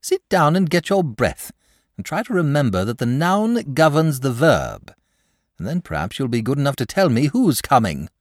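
A gentle, cowardly storybook dragon, voiced by a man reading aloud. He drawls lazily and solemnly, and his pitch rises and falls widely. The recording is dry and close. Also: very animated, moderate pace, no echo. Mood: unruffled.

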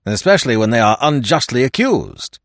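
A man's voice: mid-range voice, very animated, fairly quick, dry recording, voice pushed up. A man voicing a loyal, blunt English secretary in an audiobook, dry and forthright in manner. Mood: dry, firm. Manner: blunt, colloquial.

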